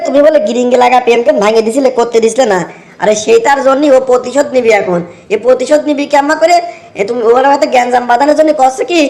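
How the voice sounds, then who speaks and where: A man's voice: very high voice, a little mellow. A man voicing a fawning, scheming village henchman in a comic cartoon, chatty and cajoling, with a rural Bangladeshi Bengali accent.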